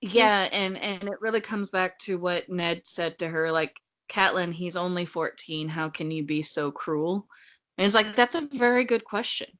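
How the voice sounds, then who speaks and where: A woman's voice: fairly low pitch, steady tone, normal speed, fairly bright tone. A woman co-hosting a casual book discussion, blunt and argumentative, often acting out quotes as she goes. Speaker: podcast co-host.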